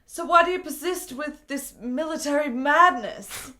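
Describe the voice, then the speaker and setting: A woman's voice: high-pitched, measured pace, bright, forceful tone. An actress playing a frail, ailing woman in her twenties in a period radio drama, earnest and frank with formal, precise phrasing. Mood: wistful, earnest.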